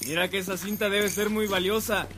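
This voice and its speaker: High-pitched, mocking man. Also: steady tone, a little fast, sharp tone. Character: mocking male customer, sneering and teasing. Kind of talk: radio drama acting.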